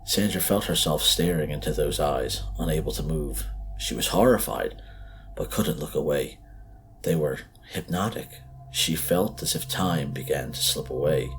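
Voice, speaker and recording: A man's voice: very deep voice, moderate pace, background noise. A man reading a scripted horror story as narrator, in a dramatic, suspenseful, emphatic way.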